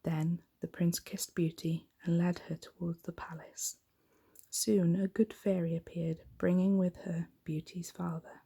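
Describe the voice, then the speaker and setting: A woman reading a bedtime story in a soft-spoken ASMR style, hushed, gentle and breathy. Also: lowish voice, level tone, a little slow.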